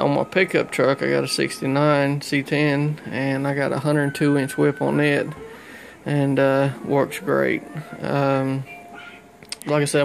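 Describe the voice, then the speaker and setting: Flat, gravelly man. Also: medium pitch, a little hiss or hum. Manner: folksy, colloquial. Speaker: hobbyist CB radio operator. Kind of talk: casual showcase of own gear. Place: small room indoors. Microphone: handheld phone camera behind the lens.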